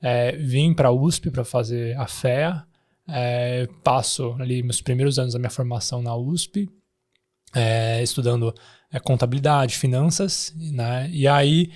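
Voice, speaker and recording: A man's voice: medium pitch, a little mellow. Taiwan-born guy with an araraquara accent, founder chatting on a podcast, lots of "né" and restarts. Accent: Araraquara Brazilian Portuguese. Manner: tags sentences with "né", frequent restarts. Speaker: guest, startup founder. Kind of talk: casual interview chat.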